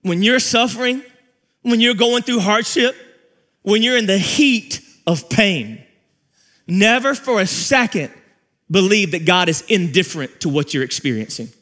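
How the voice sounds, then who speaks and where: A man in his forties preaching a sermon on a large church stage, with repeated phrases, pauses and rising emphasis. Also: high-pitched, lively intonation, fairly bright tone. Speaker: pastor.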